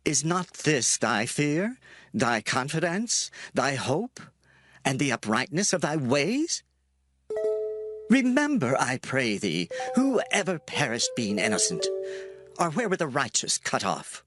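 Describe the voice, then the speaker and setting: An actor playing a grave, admonishing biblical counselor, speaking in an emphatic, oratorical manner. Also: male voice, medium pitch, sing-song, slow pace. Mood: grave reproach. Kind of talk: dramatized scripture reading, oratorical. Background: faint music.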